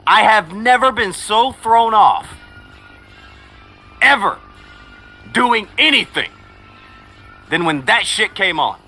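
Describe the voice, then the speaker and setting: Teasing, animated male stream host. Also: high voice, takes it slowly, long pauses, soft background music. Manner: crude, teasing, profane storytelling. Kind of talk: casual chat, reading out viewer comments.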